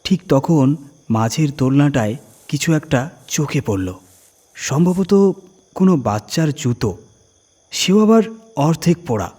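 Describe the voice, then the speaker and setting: Husky man narrating a horror story. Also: fairly high pitch, animated, dark tone. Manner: suspenseful, deliberate phrasing with dramatic emphasis. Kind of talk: dramatic scripted first-person horror narration.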